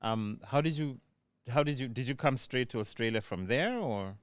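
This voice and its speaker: A man co-hosting a podcast, joking and quick with banter. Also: mid-range voice, no room sound, clean studio sound. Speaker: podcast co-host. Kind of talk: casual podcast chat.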